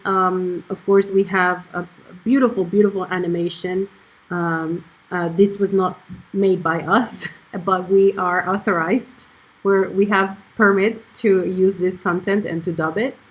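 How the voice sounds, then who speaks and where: A woman's voice: medium pitch, poor audio. A woman casually walking through her dubbing work on screen, hesitant and full of ums and restarts. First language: not Icelandic. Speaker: dubbing producer. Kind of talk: casual screen-share walkthrough.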